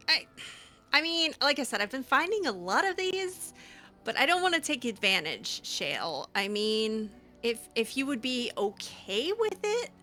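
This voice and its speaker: Bright, very animated woman gamer. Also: fairly high pitch. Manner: chatty, hesitant, effusive. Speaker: video game player and host. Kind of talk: in-character improvised roleplay commentary.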